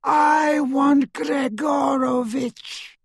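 A man voicing a menacing male dark wizard in an audiobook, with a high, cold voice and slow, drawn-out speech. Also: steady tone, gravelly, dry recording, studio quality, full character voice. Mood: cold menace.

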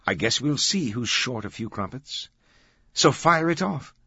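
Man voicing a brash, cocky reporter, forceful. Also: medium pitch, very animated, unhurried, slightly rough, no echo, dull audio, voice pushed up, heavily acted. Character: brash, cocky male news reporter chasing a story.

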